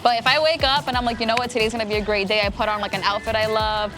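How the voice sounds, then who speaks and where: A woman's voice: fairly high pitch, steady tone, rapid-fire, over music. A woman talking in an upbeat, encouraging way in a casual group chat, saying "like" often. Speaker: panelist.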